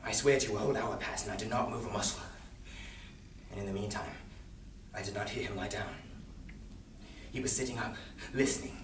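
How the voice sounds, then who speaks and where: A man playing a nervous, gleeful madman confessing to murder in a theatrical stage recitation, swinging between hushed and manic delivery. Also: fairly low pitch, fairly even intonation, fairly quick, pauses often, close to an everyday voice. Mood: hushed, confiding. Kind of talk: dramatic solo stage recitation of a gothic story. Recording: roomy, distant stage recording with noise.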